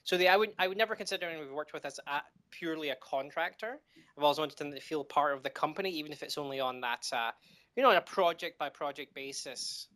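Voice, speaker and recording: A middle-aged man from Scotland with a Scottish accent, answering interview questions with frequent pauses, fillers and restarts. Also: fairly high pitch, fairly bright tone. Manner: hesitant, many ums and restarts. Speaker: game developer guest.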